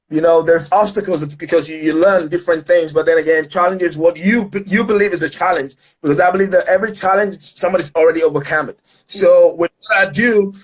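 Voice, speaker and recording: A man's voice: fairly high pitch, low-quality recording. A man from Ghana, speaking as a guest in an online interview in an upbeat, motivational way with many fillers.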